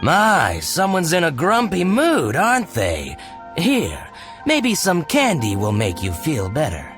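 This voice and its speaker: An actor playing a sly, chatty small-time merchant with an ingratiating, theatrical manner in a video game. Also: male voice, a little high, wildly swooping pitch, takes it slowly, exaggerated voice. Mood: teasing. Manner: coy, smooth-talking, ingratiating. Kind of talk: video game character dialogue. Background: music.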